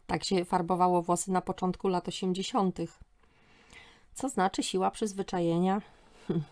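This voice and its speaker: A woman's voice: fairly low pitch, steady tone, moderate pace, a little mellow. A woman hosting a story podcast, reading humorous first-person narration in a wry, sardonic manner.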